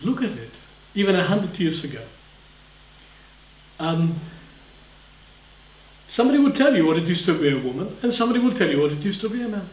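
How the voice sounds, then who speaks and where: A man giving a live lecture in short emphatic phrases, pausing for an interpreter. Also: high-pitched, very animated. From Israel. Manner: emphatic, speaks in short phrases, acts out voices. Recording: echoey hall, voice from far off.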